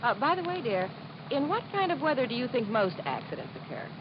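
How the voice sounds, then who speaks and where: Female chatty, safety-minded wife in a vintage radio sketch, animated pitch, dull noisy recording. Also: a little fast. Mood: earnest, chatty. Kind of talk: 1950s radio public service sketch. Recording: muffled old radio, loud noise behind.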